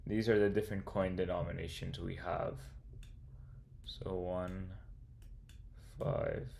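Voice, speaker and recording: A man's voice: low voice, little pitch movement, slow, vocal fry, a little mellow, a little hiss or hum. A young man casually livestreaming as he works through a coding problem, thinking aloud and chatting with viewers in a laid-back, slangy way. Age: young adult. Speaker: streamer and computer science student.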